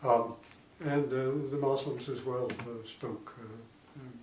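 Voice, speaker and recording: A man in his eighties, a retired diplomat, recalling his career in an oral history interview, pausing often with fillers. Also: mid-range voice, fairly even intonation, a little mellow. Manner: halting, many pauses and fillers. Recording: roomy, distant mic, faint noise.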